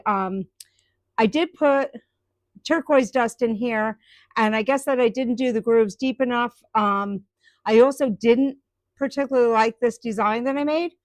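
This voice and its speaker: A woman talks her viewers through her woodturning project in a slow, rambling live-stream chat full of ums and restarts. Her voice sits a little high, and the sound is dry and close with no room echo.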